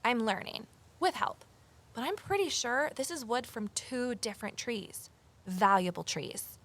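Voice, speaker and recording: A woman's voice: a little high, big pitch swings, measured pace, fairly bright tone, exaggerated voice. A woman playing an earnest, uneasy amateur investigator, confiding and intent as she narrates an audio drama. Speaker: narrator and lead character.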